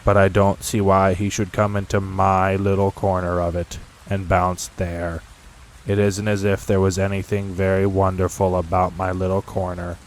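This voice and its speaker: A man voicing a gloomy, sarcastic old male donkey who talks slowly and flatly. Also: low-pitched, slight background noise, low-quality recording. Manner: slow, flat and glum.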